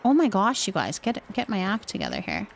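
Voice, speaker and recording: A woman's voice: mid-range voice, huge pitch swings, fairly quick, gravelly, slight background noise. A woman hosting a live game stream, chatting casually and thinking aloud as she goes.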